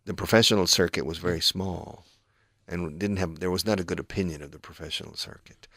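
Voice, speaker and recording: Deep-voiced man teaching English on radio. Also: a little fast, fairly bright tone, no echo, studio quality. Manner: patient, explanatory, switches between two languages. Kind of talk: radio English lesson, explaining phrases.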